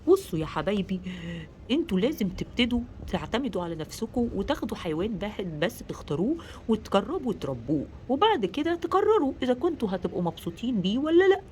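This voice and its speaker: A man acts out a patient Egyptian father from a children's story. He guides his young children in a gentle, encouraging, instructive way. There is faint noise behind him. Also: high voice, sing-song, fast. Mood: gently instructive. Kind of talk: children's story role-play.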